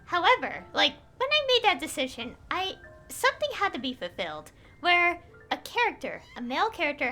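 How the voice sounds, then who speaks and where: A woman's voice: high voice, bright, forceful tone. A woman chatting casually on a gameplay livestream, rambling and hesitant as she thinks aloud. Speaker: game streamer.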